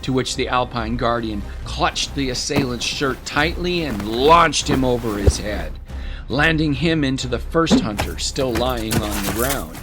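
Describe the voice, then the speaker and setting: A man delivering dramatic scripted narration for an audio drama in a measured, descriptive storytelling manner. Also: medium pitch, animated. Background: music bed.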